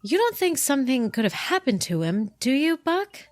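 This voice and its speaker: A loyal, anxious heroine. She talks in a high, animated voice, recorded close and dry. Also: moderate pace, dry recording. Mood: worried. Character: loyal anxious young woman Wilma, sidekick.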